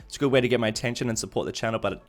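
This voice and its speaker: A man's voice: medium pitch, rapid-fire. Easygoing man live-streaming over music. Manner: easygoing, thinking aloud. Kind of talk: casual game-stream commentary, chatting with viewers. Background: background music.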